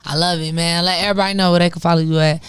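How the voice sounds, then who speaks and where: A woman's voice: lowish voice, fairly even intonation, very fast, smooth, no echo. A woman from Chicago hosting a casual radio interview, upbeat and affirming, chatting freely with her guest.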